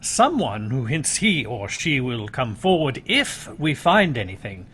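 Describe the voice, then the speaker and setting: Shrewd, slow-speaking police inspector. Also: male voice, medium pitch, sing-song, a bit bright, a little hiss or hum. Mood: shrewd suspicion. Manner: clipped, wry, pointed. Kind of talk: radio mystery drama acting.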